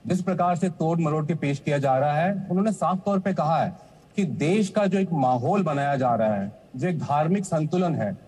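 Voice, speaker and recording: A man with a fairly high, husky voice argues formally as a political spokesperson. He is measured in his defence. The sound is a reverberant video-call link. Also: lively intonation, darkish tone. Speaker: political party spokesperson, debate guest. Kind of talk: political debate argument.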